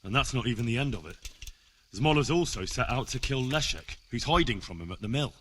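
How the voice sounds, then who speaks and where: A man's voice: mid-range voice, lively intonation, fairly quick, fairly bright tone, slight background noise, lightly acted. An actor playing an earnest, stubborn young man investigating in a medieval video game drama. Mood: urgent.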